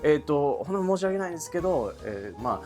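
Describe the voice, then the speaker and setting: A man, co-hosting a talk show in casual, animated comedic banter. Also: fairly high pitch, quick pace, faint music behind.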